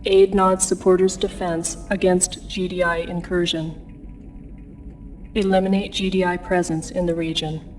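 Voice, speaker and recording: A woman's voice: fairly low pitch, little pitch movement, normal speed, close to an everyday voice. A woman voicing an impersonal military computer that reads formal, clipped briefings in a video game. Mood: detached, official. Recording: distant voice under prominent music.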